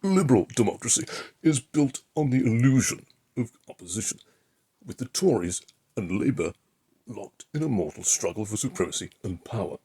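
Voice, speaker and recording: A man reading an opinion essay aloud as an impression of a pundit, with a pompous, deliberate delivery and emphatic pauses. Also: medium pitch, big pitch swings, dark tone, breathy edge. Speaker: amateur reader of a political article.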